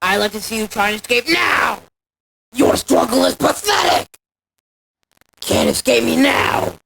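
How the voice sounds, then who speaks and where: A woman voicing a boastful, menacing killer monster for video game voice lines, mocking the prey with a gravelly edge. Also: a little high, animated, measured pace, noisy background, exaggerated voice. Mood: gloating menace. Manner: mocking, menacing, shouted taunts. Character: taunting, boastful, bloodthirsty speed-obsessed killer monster.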